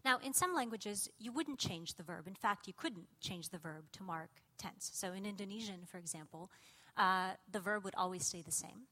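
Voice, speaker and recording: A woman whose native language is Russian, giving a public lecture on language and thought in a lively, engaged way with frequent ums and uhs. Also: medium pitch, normal speed. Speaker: cognitive scientist giving a lecture.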